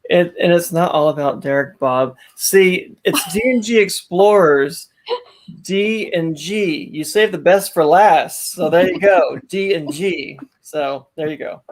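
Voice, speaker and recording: A man's voice: a little high, a little slow. Man, from upstate New York, teasing, joking, casual chat, video call audio. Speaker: guest travel creator.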